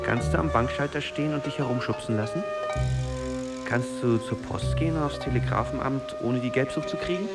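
An actor playing a tormented, lonely old artist, brooding and lovesick, with halting, theatrical delivery in a literary radio play. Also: male voice, mid-range voice, lightly acted. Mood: weary, wry. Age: older. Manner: theatrical, halting, weighty delivery with repeated words. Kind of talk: literary radio drama monologue and dialogue. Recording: music playing behind the voice. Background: music.

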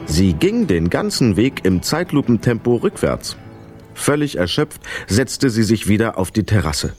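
A man narrating a scripted children's story in an expressive, vivid storytelling manner. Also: on the low side, unhurried, soft background music.

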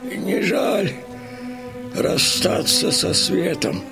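An actor playing a devout, fearless dying Cossack in an audio drama, with a strained, gravelly voice. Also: male voice, sits high, lively intonation, very slow, loud music behind the voice, full character voice. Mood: exalted, dying. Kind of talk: theatrical audio drama death speech.

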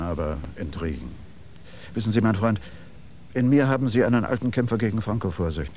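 Ein Schauspieler spielt einen eifrigen, redseligen Regieassistenten, der sich anbiedert. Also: male voice, sits low, a little hiss or hum. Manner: pushy, excitable, name-dropping. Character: eager talkative male assistant director, ingratiating. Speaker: would-be assistant director.

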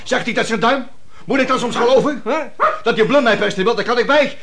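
A high-voiced, exasperated suspicious husband. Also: male voice, fairly quick, noisy background. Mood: angry, suspicious. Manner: terse, indignant. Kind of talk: radio play acting.

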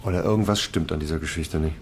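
A man's voice: very deep voice, quick pace, darkish tone, a little hiss or hum. An actor playing a methodical male police investigator who lays out facts in a matter-of-fact way in a radio play.

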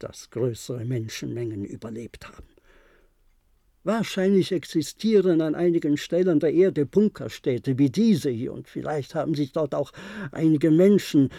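An actor in a German radio play, playing an older, resigned former diplomat who reminisces in a measured, formal way. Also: male voice, medium pitch, dark tone, dry recording, clean studio sound. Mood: resigned, somber. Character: resigned, reflective former diplomat, aging bunker survivor.

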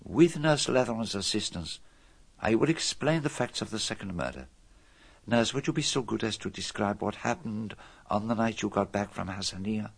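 An actor playing a self-assured, methodical detective in a radio drama, speaking formally with dramatic emphasis. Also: male voice, fairly low pitch, moderate pace, creaky, husky. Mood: reflective, dramatic. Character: famous male detective, methodical, self-assured, theatrical in revealing a murderer.

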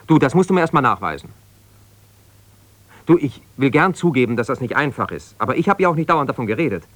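An actor playing an aggrieved, self-justifying middle-aged husband in a naturalistic radio drama, halting and reproachful as he argues. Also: mid-range voice, big pitch swings, no echo, natural voice. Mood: reproachful, wounded. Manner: halting, argumentative, reproachful, breaking off mid-sentence. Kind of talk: naturalistic 1970s German radio drama, one-sided conversation.

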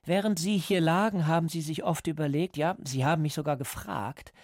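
An actor playing a calm, cold party interrogator in a radio drama, speaking in a measured, lecturing way. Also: male voice, fairly high pitch, no room sound, studio quality, close to an everyday voice. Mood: patient, instructive. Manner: measured, didactic.

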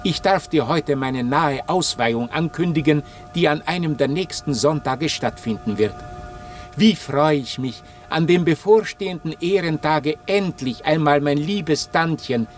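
A man's voice: fairly high pitch, a little slow, soft background music, natural voice. A man acting in a German radio play as a pious, sweet-talking young nephew, reading out his flowery, unctuous letters to his aunt. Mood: gushing, pious. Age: young adult. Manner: florid, flowery, unctuous phrasing.

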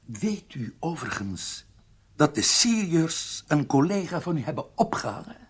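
Formal, menacing military doctor, husky voice. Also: male voice, fairly high pitch, big pitch swings, full character voice. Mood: formal, probing. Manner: measured, formal, pointedly polite. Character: formal, menacing Egyptian military prison doctor interrogating a spy. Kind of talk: radio drama acting.

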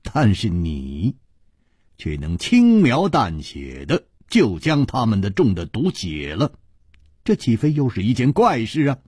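A man voicing a calm, shrewd hero in a wuxia audiobook, reasoning deliberately with pointed amusement. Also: mid-range voice, slightly rough, no room sound, clean studio sound, exaggerated voice. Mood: composed, probing.

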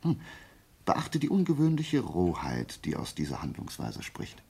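A self-assured, methodical sleuth in a radio play. His voice is very deep and husky, and it swings widely in pitch. He reasons aloud like a teacher, deliberate and theatrical, nudging with rhetorical questions. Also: huge pitch swings, a little mellow, full character voice. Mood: analytical, emphatic. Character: brilliant, methodical male amateur detective, self-assured and theatrical. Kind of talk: German radio play acting.

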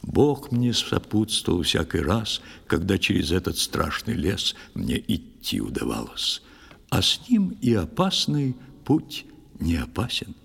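An actor playing a sorrowful, pious old fisherman who tells his tale in expressive verse in an audio play. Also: sits low, unhurried, vocal fry, slightly rough, exaggerated voice. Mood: warm recollection, troubled. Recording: long studio reverb over music.

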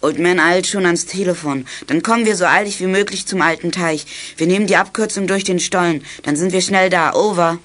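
A young male performer playing a confident, husky-voiced boy who leads young detectives in a children's audio drama. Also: sits high, fairly quick, hardly any pauses, fairly bright tone. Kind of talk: German children's radio play acting.

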